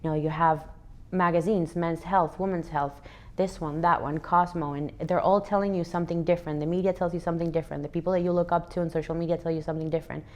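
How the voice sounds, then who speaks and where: Woman, thirties, from Venezuela, interview guest; low voice, reflective, earnest. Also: steady tone, fast. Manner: earnest, reflective, frequent restarts. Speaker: interview guest, athlete and fitness educator. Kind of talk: relaxed interview answers.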